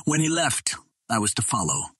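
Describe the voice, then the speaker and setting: A man's voice: mid-range voice, big pitch swings, moderate pace, a bit bright, husky, no room sound, studio quality. A man reading a pulp fantasy adventure audiobook as scripted narration.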